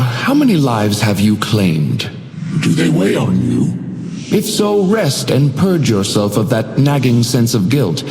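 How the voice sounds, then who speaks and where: A man's voice: mid-range voice, a little mellow. An actor playing a sinister, courteous supernatural hotel doorman in a video game, with a silky, mock-polite, menacing delivery. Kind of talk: theatrical game voice lines. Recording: echoey hall reverb, music behind.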